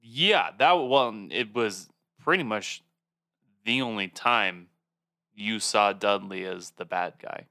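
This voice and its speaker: A man co-hosting a casual podcast chat, teasing his friends with lively banter. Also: lowish voice, slow pace, gravelly, dry recording, studio quality. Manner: teasing, animated. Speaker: podcast co-host.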